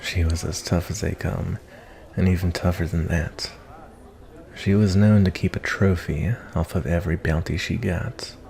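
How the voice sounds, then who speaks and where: Casting: male actor for a kindly cowboy bounty hunter, very low and mellow, folksy and reflective, soft ASMR delivery for a fantasy tavern roleplay. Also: steady tone, normal speed. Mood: storytelling, musing. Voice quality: low, gravelly. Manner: folksy, rambling, kindly drawl with cowboy turns of phrase. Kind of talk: ASMR roleplay, softly spoken to the listener.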